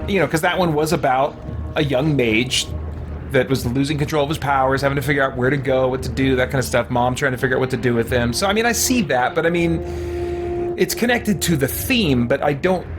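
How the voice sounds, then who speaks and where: A man's voice: mid-range voice, a little fast, over music. A man giving casual, rambling gameplay commentary as he thinks aloud. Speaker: let's play host.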